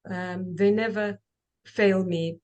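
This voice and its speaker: A woman giving a webinar presentation over a video call. She speaks haltingly and fills pauses with many ums and restarts. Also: medium pitch, steady tone, a little mellow. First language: Kazakh. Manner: halting, with frequent fillers and restarts. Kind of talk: online presentation and Q&A.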